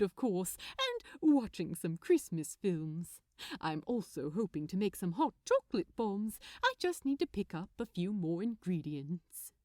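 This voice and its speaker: Woman voicing a genteel, cheerful man, very animated. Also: medium pitch, dry recording, studio quality. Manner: prim, bright, polite, affectionate. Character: cheerful, genteel man who loves gift wrapping.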